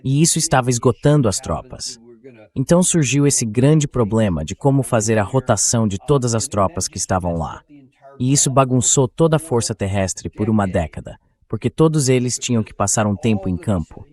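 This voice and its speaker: A man's voice: mid-range voice, expressive, fairly quick, a little mellow. A man with a Brazilian accent and a mid-range voice delivers opinionated political commentary. He speaks as a show host, colloquial and blunt, with a sarcastic edge. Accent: Brazilian Portuguese.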